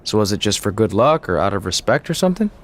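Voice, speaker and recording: Male wrestler, slightly low voice, wry, teasing, loyal, video game drama. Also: sing-song, quick pace. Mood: sincere. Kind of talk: video game cutscene acting.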